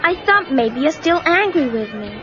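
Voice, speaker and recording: High-voiced, frank American teenage girl. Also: big pitch swings, moderate pace. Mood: friendly, confiding. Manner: casual, direct. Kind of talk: audio story dramatization. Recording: dull cassette, music and crowd behind.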